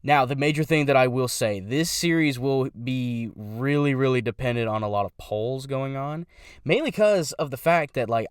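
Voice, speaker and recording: A man's voice: medium pitch, no room sound, clean studio sound. A young man who does voice impressions, talking casually about his channel in a rambling way, stopping to correct himself.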